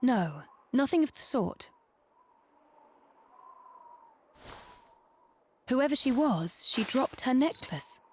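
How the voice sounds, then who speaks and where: A woman's voice: sits high, very animated, measured pace, a little mellow, slight background noise. An actress playing a refined, observant young noblewoman in a video game, speaking with polite, formal precision. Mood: assured. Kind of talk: video game cutscene acting. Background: background music.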